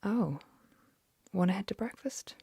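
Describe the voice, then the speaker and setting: A woman's voice: mid-range voice, expressive, measured pace, gravelly, warm tone, slightly rough, no room sound, full character voice. A woman whispering the lines of a shy young woman at summer camp.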